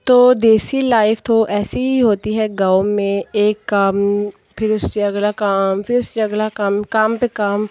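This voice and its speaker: A woman's voice: medium pitch, flat delivery, a little slow, darkish tone, no room sound. A woman from Kashmir, chatting and explaining to viewers as she narrates her casual village daily-life vlog. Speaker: village vlogger. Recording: dull lo-fi phone recording.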